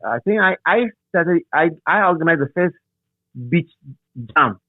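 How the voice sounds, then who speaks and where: Middle aged ghanaian guy being interviewed, bit high pitched, animated but stumbly storytelling, dry thin phone sound. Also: no room sound, narrow, tinny audio. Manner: halting, repeats words and phrases, animated storyteller. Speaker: interview guest, radio DJ and presenter. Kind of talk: casual reminiscing in an interview.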